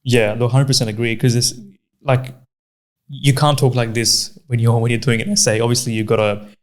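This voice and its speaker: A man's voice: medium pitch, flat delivery, moderate pace. A man in his twenties from Pakistan, whose first language is Urdu, hosting a casual podcast in an easygoing, encouraging way with frequent "yeah"s. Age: 20s. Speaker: podcast host.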